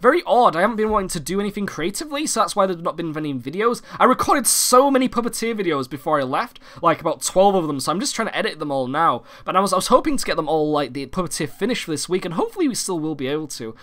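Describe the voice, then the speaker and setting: A young man from the United Kingdom, hoarse and croaky from a cold, hosting a casual gaming-channel vlog and rambling with many fillers and restarts. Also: high-pitched, big pitch swings, fast talker, few pauses, fairly bright tone. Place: home recording room.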